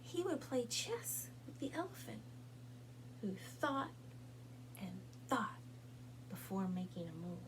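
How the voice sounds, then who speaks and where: A woman reading a children's picture book aloud as a storytime host, with deliberate, expressive phrasing and pauses for young listeners. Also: medium pitch, noisy background. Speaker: storytime reader at a public library.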